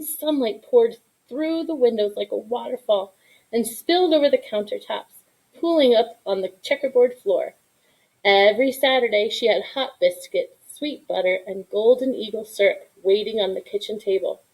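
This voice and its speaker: A woman reading a children's story aloud in an expressive style, with deliberate, dramatic emphasis. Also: a little high, poor audio. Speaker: storybook reader.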